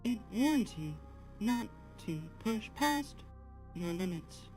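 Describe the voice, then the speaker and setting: Earnest female AI streamer, acted by a woman, halting formal delivery at a very unhurried pace, soft music underneath. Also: mid-range voice, big pitch swings. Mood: calm, guiding. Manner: measured, halting, formal, avoiding contractions. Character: polite artificial-intelligence female assistant, apologetic and gently earnest. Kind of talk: slow guided talk to a live chat.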